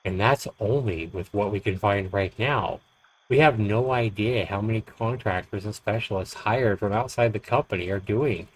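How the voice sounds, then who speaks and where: Male narrator for horror visual novel: slightly deep, deliberate, expressive dramatic delivery. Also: low-quality recording. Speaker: streamer reading a visual novel aloud.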